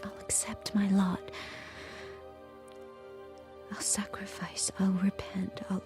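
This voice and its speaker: Actress doing nervous guarded handmaid woman, radio play, mid pitch, music under it. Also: little pitch movement, takes it slowly, whispered, lightly acted. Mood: desperate pleading. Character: anxious, guarded captive handmaid telling her story. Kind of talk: audio drama dialogue and intimate inner monologue.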